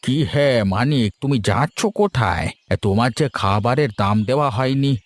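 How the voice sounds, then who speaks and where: A man's voice: mid-range voice, lively intonation, moderate pace, no room sound, natural voice. A man voicing a cautious elderly village innkeeper and father in a cartoon folk tale, folksy and firm. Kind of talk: animated folk tale voice acting.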